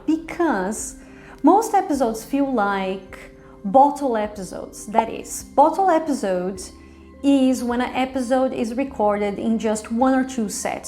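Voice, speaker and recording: A woman reviewer delivering semi-scripted commentary in an articulate, explanatory way with measured phrasing. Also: fairly high pitch, warm tone. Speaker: drama reviewer hosting own review show.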